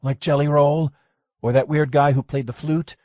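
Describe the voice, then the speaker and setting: A hard-edged, streetwise woman , played by a male audiobook reader, snaps her lines curtly. His voice sits higher than usual, and the sound is dry and close. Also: steady tone, normal speed, a little mellow, no echo, natural voice. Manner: curt, dismissive. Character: tough blunt streetwise woman.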